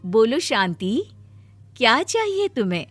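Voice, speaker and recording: Woman acting calm, motherly magical fairy. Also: a little high, faint music behind. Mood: reassuring. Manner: reassuring, measured, authoritative. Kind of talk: acted moral cartoon story.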